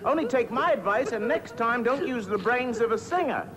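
A man's voice: extremely high pitch, animated, moderate pace, crowd noise, rough recording. An actor, a man, voicing a cheeky, laid-back young pop musician in a cartoon, flippant and wisecracking. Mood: wry. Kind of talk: 1960s cartoon voice acting. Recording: old cartoon soundtrack with music behind.

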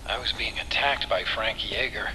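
A man's voice: lowish voice, normal speed, pushed and bright, slight background noise, low-quality recording. An actor playing an earnest, anxious male tech expert, a caring sidekick in a video game cutscene, speaking expressively with some hesitancy. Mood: shaken, grateful.

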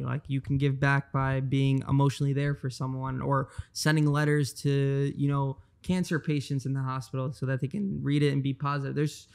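A man's voice: mid-range voice, vocal fry, clear, resonant tone. A young man in his twenties, a guest on a podcast, talking earnestly into a handheld mic and often repeating words as he thinks. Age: 20s. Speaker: guest, trader. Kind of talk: casual podcast interview. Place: bright high-rise living room.

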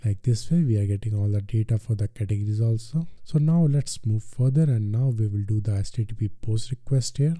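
Dark, muffled-toned Indian man teaching software. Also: on the low side, brisk, few pauses, no room sound, clean studio sound. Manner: step-by-step instructing with repetitive filler phrases. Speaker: tutorial presenter. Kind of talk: software screencast tutorial narration.

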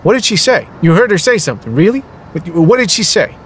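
An actor playing an excitable young streetwise sidekick, urgent and slangy, in an audio drama. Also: male voice, high-pitched, a little fast, slight background noise.

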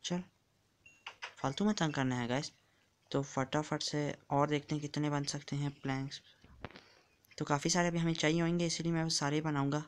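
A man's voice: fairly high pitch, steady tone, moderate pace, long pauses, a little hiss or hum. A man giving casual commentary while playing a block-building game, chatty and counting blocks aloud as he builds. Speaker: gamer.

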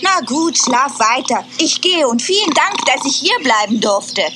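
A woman's voice: sits high, unhurried, background noise. A woman voicing a curious, boastful young male squirrel in a children's audio drama. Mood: cheerful. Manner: lively, chirpy, boastful.

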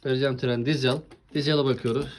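A woman's voice: very deep voice, fairly even intonation, fast, background noise. A woman hosting a casual toy showcase with a child, chatting in an encouraging way and often asking questions. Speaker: host presenting toy trains with a child.

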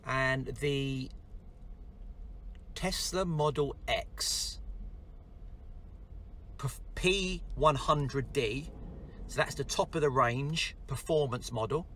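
A man's voice: medium pitch, takes it slowly, pauses often, a bit bright. A middle-aged man with an English accent chatting casually and in a rambling, informal way in a car vlog. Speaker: vlogger, design and construction business owner. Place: inside a car. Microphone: camera at about arm's length. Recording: clear vehicle noise inside a car.

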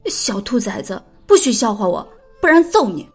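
A woman's voice: fairly high pitch, very animated, moderate pace, husky, soft background music, exaggerated voice. An actress playing a spirited, blunt but kind-hearted young woman in an audio drama, playfully scolding. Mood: embarrassed, scolding. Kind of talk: audio drama character acting.

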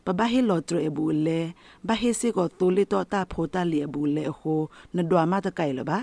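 A woman's voice: fairly low pitch, moderate pace, vocal fry, darkish tone, no echo. A woman radio news presenter reading a scripted bulletin.

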